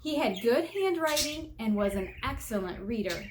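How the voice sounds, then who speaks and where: American female elementary teacher for children's read-aloud, higher-pitched, very animated, expressive teacherly delivery. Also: normal speed, background noise. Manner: expressive, teacherly, emphasizes and repeats key words, questions listeners. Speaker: elementary teacher reading a picture book aloud.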